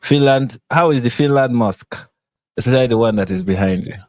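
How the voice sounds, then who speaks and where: A man's voice: medium pitch, fairly quick, a little mellow, dry recording. A man with a Nigerian accent, hosting a casual live stream and laughing often as he chats. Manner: laughs often, chatty and playful. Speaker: host.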